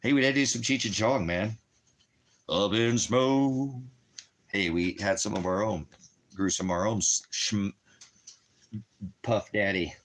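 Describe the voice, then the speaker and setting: A man's voice: lowish voice, unhurried, long pauses, a bit bright. A man hosting a rambling livestream Bible teaching, talking bluntly and challengingly to commenters and often answering his own questions. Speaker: ministry teacher and livestream host. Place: indoors at home by a fireplace.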